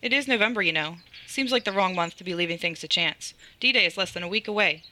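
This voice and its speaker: A man's voice: high voice, fast, pushed and bright, pitched above the performer's own voice. A man voicing a vain, flirtatious, scheming female turkey in an animal story, affected and sugary. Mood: fussy.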